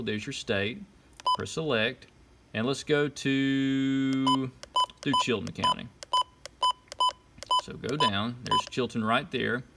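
A man giving an informal how-to demonstration, talking through each step in short, casual instructions. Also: mid-range voice. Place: indoors at a desk. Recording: clear background noise, clicks and beeps. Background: button clicks and electronic beeps.

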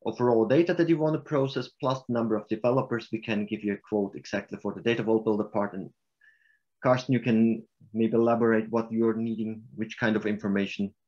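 A man with a Swiss German accent presenting software in a webinar and answering questions, explaining in a halting way with restarts. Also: on the low side, fairly even intonation, highs cut off.

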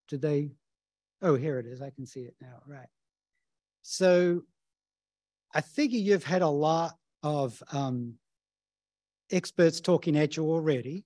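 A man with a New Zealand accent gives a relaxed conference talk, tossing in quick asides and tag questions. His voice sits fairly high for a man, and the sound is close and dry. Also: no room sound. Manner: casual, chatty, tag questions. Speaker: professor of epidemiology, presenter. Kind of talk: conference presentation.

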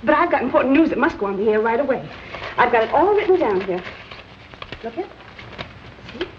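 A woman's voice: a little high, big pitch swings, brisk. An actress playing an eager, naive young woman reporter, breathless and insistent, in old-time screwball drama style. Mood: insistent, urgent. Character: scatterbrained, eager young female would-be reporter. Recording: dull, noisy old film soundtrack.

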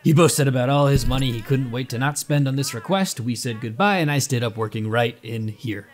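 A man's voice: medium pitch, a little fast, natural voice. An actor playing an eccentric, rambling male inventor in an audio drama, with flippant, wordy showman patter. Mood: breezy.

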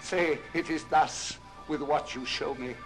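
An actor playing a frightened old miser in an old radio drama, theatrical and imploring. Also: male voice, a little high, fairly even intonation, unhurried, close to an everyday voice. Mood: desperate pleading. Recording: old record with music underneath.

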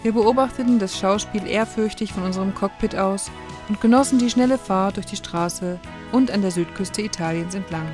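A woman's voice: medium pitch, normal speed, darkish tone. A woman reading a scripted travel narration for a vlog. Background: music.

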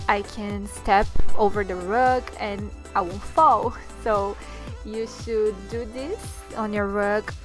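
A young woman from Brazil with a Brazilian Portuguese accent, talking through a sewing tutorial in her second language with chatty, slightly halting phrasing. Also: medium pitch. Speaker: sewing channel host. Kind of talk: friendly sewing tutorial talk and voiceover.

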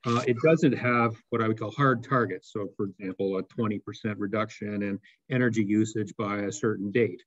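A man's voice: on the low side, fairly even intonation, a little fast. A man on staff answering council questions on a video call, explaining step by step with frequent restarts and fillers. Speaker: municipal staff member. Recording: dull lo-fi video call audio.

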